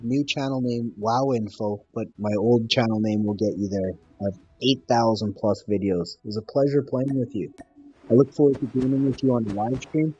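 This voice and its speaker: A man from Canada, a gaming YouTuber, chatting casually and upbeat with an online opponent, full of slang and repeating himself slowly. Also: medium pitch, warm tone, muffled recording, a little hiss or hum.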